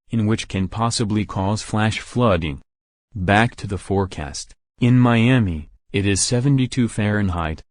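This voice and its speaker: A man's voice: fairly low pitch, dry recording. A man working as a radio announcer, delivering a forecast and station patter.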